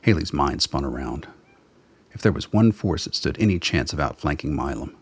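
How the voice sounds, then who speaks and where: A man with a very deep, husky voice reading scripted narration for a radio drama. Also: expressive, moderate pace, no room sound.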